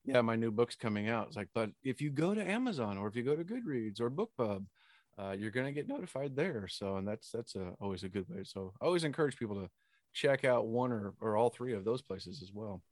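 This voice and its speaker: A man's voice: mid-range voice, sing-song, a little fast, dry recording. A man hosting a casual interview podcast in an effusive, folksy manner. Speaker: podcast host.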